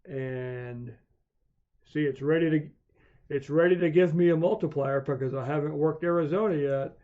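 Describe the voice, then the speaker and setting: A man giving an unscripted screencast tutorial, haltingly thinking aloud as he demonstrates software. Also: on the high side. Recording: dull, lo-fi voice recording.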